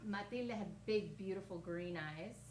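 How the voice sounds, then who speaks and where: A woman's voice: mid-range voice, measured pace, darkish tone. A woman from Colombia, an audience volunteer, giving easygoing short answers and chuckling during an onstage interview. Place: live comedy stage. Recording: roomy, distant, noisy live venue.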